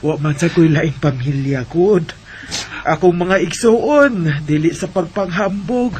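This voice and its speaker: Generous older Visayan auntie for radio drama: unhurried, low, reassuring delivery, faint background noise. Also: female voice. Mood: kindly, reassuring. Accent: Visayan Filipino. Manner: gentle, reassuring. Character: kind, generous older unmarried woman helping a family.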